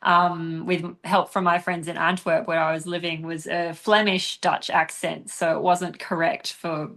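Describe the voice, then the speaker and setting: A young adult woman answering interview questions in a relaxed, chatty way, often laughing and pausing with ums. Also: fairly low pitch. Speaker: interviewed actress and dancer.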